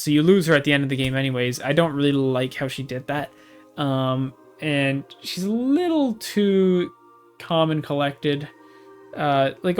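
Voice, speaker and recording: A young man giving casual, rambling commentary, hesitant and full of restarts. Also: fairly high pitch. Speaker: gaming video host.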